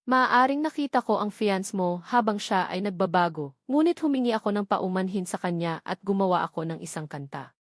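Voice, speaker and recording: A woman's voice: mid-range voice, animated, a little fast, dry recording, clean studio sound, lightly acted. Cold, taunting martial arts master read by a woman. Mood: cold threat. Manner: taunting, threatening.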